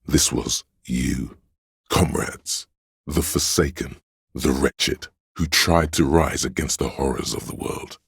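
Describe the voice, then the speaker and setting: A man narrating a game script in a measured, grave, literary manner, with a very deep, gravelly, husky voice. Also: dry recording, studio quality.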